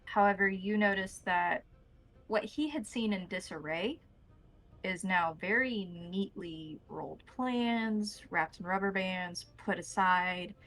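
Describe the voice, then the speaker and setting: A woman runs a tabletop roleplaying game as its game master. She describes scenes in a measured, improvised narration, with noticeable vocal fry. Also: lowish voice, fairly bright tone, poor audio.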